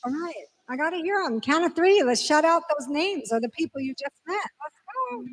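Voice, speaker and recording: A woman with a high voice welcomes a group and leads a holiday service. She talks in an upbeat, chatty way, full of fillers and restarts. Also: lively intonation, brisk, rough recording. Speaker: service leader. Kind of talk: leading a holiday service, casual.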